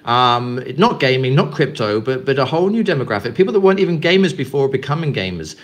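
Man from England, mid-pitched voice, rambling casual answers, lots of ums. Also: big pitch swings, fast. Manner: rambling, many fillers and repeated words. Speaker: guest, company representative. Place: Greece.

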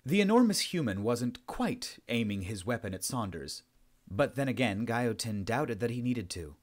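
A man's voice: mid-range voice, sing-song, normal speed. A man reading a science fiction story aloud as a scripted narration. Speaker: audiobook narrator.

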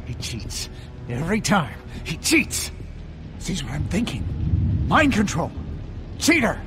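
A man's voice: fairly high pitch, huge pitch swings, slow, over music, exaggerated voice. An actor playing a paranoid, aggrieved male asylum inmate in a game, agitated and accusing.

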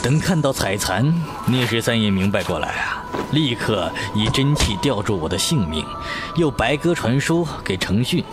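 An actor playing a seasoned, urbane middle-aged martial-arts master in a wuxia audio drama, speaking in a measured, avuncular, theatrical way. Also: male voice, mid-range voice, very animated, natural voice. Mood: reflective. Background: music.

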